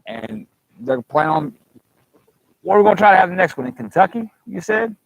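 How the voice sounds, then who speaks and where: A man hosting a live stream outdoors at a campsite, talking in a folksy, joking, rambling way. His voice is gravelly and sits a little high. He speaks through a small clip-on mic with a fuzzy windscreen. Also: animated, normal speed, no room sound, muffled recording. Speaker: livestream host. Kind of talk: casual live chat.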